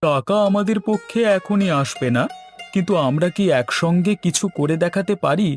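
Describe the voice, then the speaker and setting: A man's voice: high voice, moderate pace, soft background music, lightly acted. A man voicing an earnest, agreeable younger village man eager to help, in scripted cartoon dialogue. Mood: eager, concerned.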